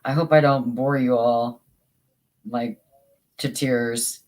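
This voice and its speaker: Soothing host chatting with viewers on a craft stream, talks real slow, lots of restarts. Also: medium pitch, fairly even intonation, a little mellow. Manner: slow and halting, with many repeated starts. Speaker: livestream host. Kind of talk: casual chat while crafting, reading chat.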